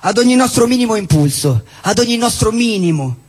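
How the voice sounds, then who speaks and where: A man giving a theatrical spoken-word political declamation on stage, emphatic and built in measured lists. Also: sits high, expressive. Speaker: stage performer at a live show. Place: indoor cinema-theatre venue with long reverb. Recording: reverberant hall, live show with music.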